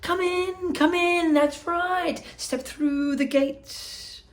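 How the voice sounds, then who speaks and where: A woman voicing an eccentric, excitable little man, a storybook factory owner who welcomes people effusively in a high, fluty voice. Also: exaggerated voice. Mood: gushing, delighted. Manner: effusive, theatrical welcoming, drawn-out delighted words. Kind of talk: storybook character voice.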